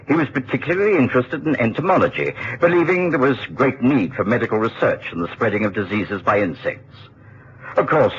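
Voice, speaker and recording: An actor playing a kindly, measured English physician of middle age in a radio drama, speaking with formal, avuncular precision. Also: male voice, lowish voice, natural voice. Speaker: narrator and supporting character in a radio play. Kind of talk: British radio drama acting and storytelling. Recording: muffled, noisy old radio broadcast tape.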